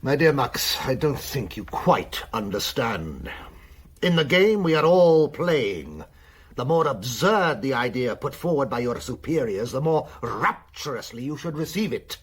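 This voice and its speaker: A cynical German admiral and spymaster in a radio play. His voice is very husky and breathy, with a lively, swooping delivery. He is worldly, wry and patronising. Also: mid-range voice, measured pace. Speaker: radio drama actor. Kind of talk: radio drama acting.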